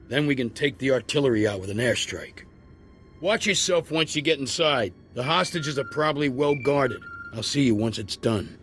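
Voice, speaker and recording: Gravelly, pragmatic military commander. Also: male voice, medium pitch, fairly bright tone. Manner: terse, matter-of-fact briefing. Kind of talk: cinematic video game voice acting. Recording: music behind the voice.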